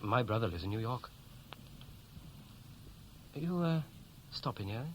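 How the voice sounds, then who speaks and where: A restless young Welshman, played by a male actor in a sixties radio drama. His pitch swings freely as he hesitates and corrects himself, half shy and half boastful. The old recording carries clear background noise. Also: expressive, unhurried, close to an everyday voice. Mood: awkwardly boastful. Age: young adult. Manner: hesitant, colloquial, self-correcting. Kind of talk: 1960s radio play acting, naturalistic dialogue. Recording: noisy vintage 1960s radio recording.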